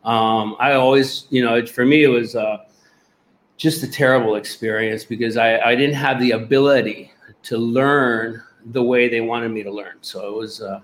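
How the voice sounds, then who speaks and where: A man's voice: mid-range voice, steady tone, moderate pace. Earnest male guest from Puerto Rico. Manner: earnest, rambling with restarts. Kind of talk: casual interview.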